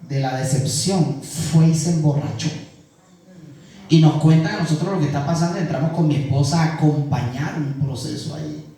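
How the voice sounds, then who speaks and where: A man's voice: on the high side, moderate pace, dark tone. A middle-aged man with a Colombian Caribbean coast accent preaching a sermon as pastor, earnest and colloquial, asking the congregation questions. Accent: Colombian Caribbean coast (costeño). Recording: very echoey church hall, mic far off.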